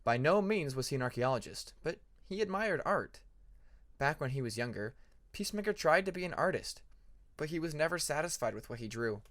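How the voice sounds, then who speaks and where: A man's voice: a little high, a little fast. Male storyteller reading dramatic fiction. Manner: expressive, acts out dramatic passages. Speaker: fan fiction narrator. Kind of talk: dramatic scripted audiobook narration.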